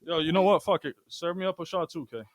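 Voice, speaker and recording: A man's voice: a little high, fast, a crowd in the background. A man hosting a casual comedy podcast, bantering playfully and swearing freely. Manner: playful, profane. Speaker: podcast host.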